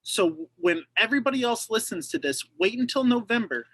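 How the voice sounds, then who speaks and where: A man's voice: high-pitched, very animated, fairly quick, fairly bright tone, husky, no echo, rough recording. A man who works as a teacher, speaking bluntly and heatedly in a group discussion. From Nebraska, US.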